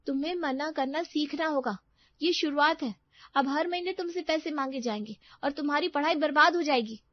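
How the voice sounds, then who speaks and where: A woman acts out a wary young woman student in a cartoon moral tale. She speaks high and emphatically, admonishing a naive friend. The track is dry and slightly dull. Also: normal speed, no echo, close to an everyday voice. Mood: angry, scolding.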